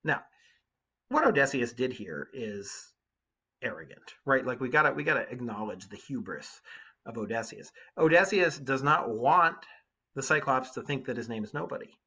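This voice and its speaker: A man's voice: medium pitch, animated, normal speed, sharp tone, husky. A man reading literature aloud as a teacher, breaking off to explain it in an emphatic, colloquial way. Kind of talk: literature read aloud with informal commentary.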